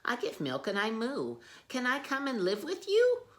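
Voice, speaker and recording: A woman's voice: on the high side, huge pitch swings, normal speed, pitched above the performer's own voice. A woman voicing a sad, lonely cow in a storybook read-aloud, in a plaintive, pleading voice. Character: sad, lonely female cow seeking a home.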